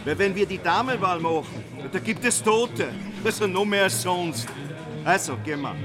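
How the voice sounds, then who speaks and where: An actress playing a bossy organiser of dance partners, commanding and wry. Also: female voice, lowish voice, lively intonation, moderate pace, natural voice. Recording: music behind.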